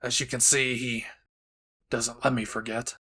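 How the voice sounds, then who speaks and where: A man playing a gruff, blunt, short-tempered adult male hero in an anime audio roleplay, sarcastic and menacing. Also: medium pitch, flat delivery, unhurried, bright, forceful tone. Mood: weary, serious.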